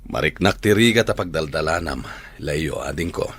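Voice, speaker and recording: A patient elder brother in a Filipino radio drama, gently advising with a Filipino accent. His voice is low and husky, with expressive rises and falls. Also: moderate pace, exaggerated voice. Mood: gentle, advising.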